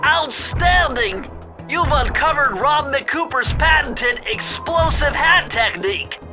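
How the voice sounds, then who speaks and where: A man voicing a brainy, fussy cartoon animal gadget expert, nasal and precise. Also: extremely high pitch. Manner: precise, pedantic, nerdy. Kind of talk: video game cartoon voice acting. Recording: music playing behind.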